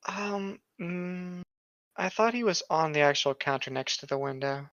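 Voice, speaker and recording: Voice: medium pitch, unhurried, fairly bright tone, dry recording. A co-host reading internet horror stories aloud and reacting casually, on a dull lo-fi microphone.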